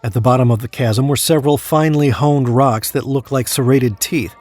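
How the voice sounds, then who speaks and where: A man's voice: medium pitch, normal speed, darkish tone, close to an everyday voice. An actor playing an earnest, guarded dead man in Hell, in audio drama dialogue and first-person narration. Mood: grim storytelling. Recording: clear background noise.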